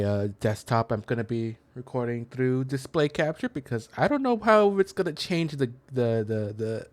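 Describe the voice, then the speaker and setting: Guy doing a chill lets play, average pitch, kinda rambly and unsure explaining stuff, super dry mic. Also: dry recording. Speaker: gaming content creator. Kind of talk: casual let's play commentary.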